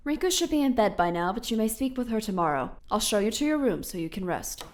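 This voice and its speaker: A woman playing a composed, haughty princess ruler in a theatrical comic dub, formal and sharp-tongued. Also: medium pitch, big pitch swings, quick pace, natural voice. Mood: businesslike. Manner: formal, biting delivery.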